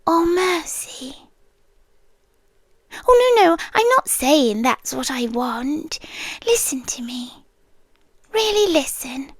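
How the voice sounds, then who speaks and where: British woman doing an obsessive guardian angel, soft whispery asmr, high voice, slow and close, dry sound. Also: no room sound, full character voice. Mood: soothing, possessive. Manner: soft, intimate, often whispered. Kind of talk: ASMR roleplay.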